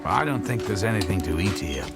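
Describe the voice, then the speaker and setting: An actor in a radio drama playing an anxious, conscience-stricken husband, hesitant, earnest and pleading. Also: male voice, deep voice, lively intonation, fairly quick, over music. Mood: subdued, resigned.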